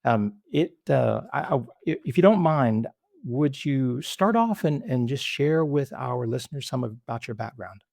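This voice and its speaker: Gravelly, halting podcast host. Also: male voice, medium pitch, expressive, a little mellow, no room sound, studio quality. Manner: halting, frequent restarts. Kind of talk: interview hosting.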